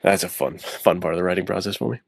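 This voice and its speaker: A man with a deep, low voice, recorded close and dry. He talks informally about his craft as a guest author, stopping and rephrasing as he thinks aloud. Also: fast, vocal fry, no echo. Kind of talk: casual podcast discussion of fiction writing.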